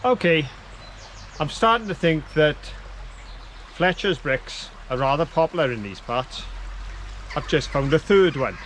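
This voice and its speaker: A man's voice: on the high side, lively intonation, measured pace, pauses often, fairly bright tone, a little hiss or hum. An older man with a Welsh accent presenting a playful outdoor vlog beside a woodland stream, camera close. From Wales. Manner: playful, showman-like presenting to viewers. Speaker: vlog co-host. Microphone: camera held close.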